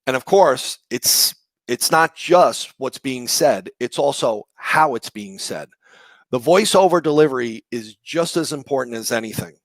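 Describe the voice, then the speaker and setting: A man with a slightly raspy, hoarse voice presenting a live online marketing session, conversational and explanatory with rhetorical questions. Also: mid-range voice, lively intonation, unhurried, no room sound. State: hoarse from shouting the day before.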